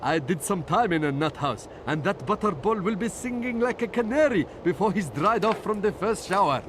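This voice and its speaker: A man's voice: high-pitched, lively intonation, normal speed, noisy background. A man playing a cheeky, streetwise, scheming sidekick, wry and conspiratorial, in a video game cutscene. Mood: urgent, wry.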